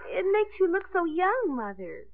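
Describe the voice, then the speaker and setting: An actress playing a haughty, dramatic teenage daughter with affected, prim airs in a 1950s radio drama. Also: female voice, very high-pitched, sing-song, moderate pace. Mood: prim, critical. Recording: noisy, narrow 1950s radio broadcast.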